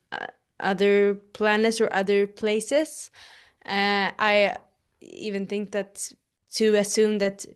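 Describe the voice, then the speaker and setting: A woman's voice: mid-range voice, clean tone. A woman with a Swedish accent, a native Swedish speaker, giving hesitant interview answers and searching for English words. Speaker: actress interviewee.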